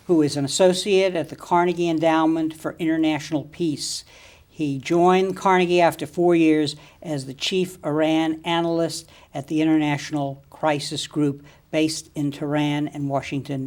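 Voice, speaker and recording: A man's voice: on the high side, fairly even intonation, normal speed, a little mellow. A man hosting an interview show, who reads a formal introduction and then asks questions that sometimes stop and start. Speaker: interview host.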